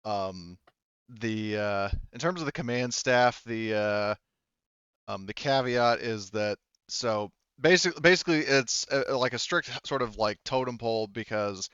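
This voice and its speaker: A man's voice: medium pitch, unhurried, fairly bright tone, smooth, no room sound, dull audio. A man speaking as game master, narrating a tabletop roleplaying game in a conversational way.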